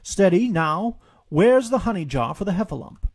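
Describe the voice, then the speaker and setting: Man voicing a bossy, raised-pitch storybook rabbit. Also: sing-song, moderate pace, gravelly, a little mellow, husky, full character voice. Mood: commanding. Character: bossy, fussy male rabbit organizer. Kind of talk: audiobook storytelling.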